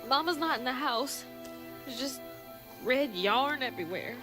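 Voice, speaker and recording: A woman's voice: sits high, expressive, a little slow, music in the background. An actress playing an earnest, determined young woman in a video game. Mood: worried, questioning. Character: earnest, determined young Southern woman searching for her mother. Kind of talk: video game cutscene dialogue.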